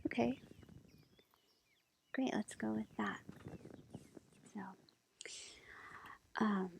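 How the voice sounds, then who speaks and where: A woman doing a soft-spoken, half-whispered ASMR roleplay as a tarot reader, with a breathy voice and an unhurried, hesitant, gentle, casual manner. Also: medium pitch. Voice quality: breathy, whispery, with creaky fry. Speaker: ASMR roleplay performer as a tarot-reading witch girlfriend. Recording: lo-fi with faint background noise. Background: faint forest ambience with bird song.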